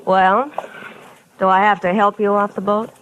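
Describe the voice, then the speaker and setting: A woman's voice: medium pitch, little pitch movement, normal speed, fairly bright tone, natural voice, low-quality recording. Woman acting a brash, sarcastic tough wife. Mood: bitter, flip. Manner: sarcastic, challenging, slangy. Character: brash, bitter, tough-talking young wife. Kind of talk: old-time radio drama acting. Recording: hissy vintage radio recording.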